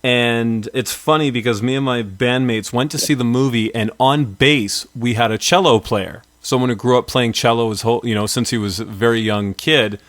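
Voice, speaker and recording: A man in his thirties from Canada hosts a radio interview in an upbeat, rambling, chatty way. His voice sits at an ordinary middle pitch. The recording is dry and close, with no room echo. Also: moderate pace, hardly any pauses, fairly bright tone. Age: 30s. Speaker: radio show host.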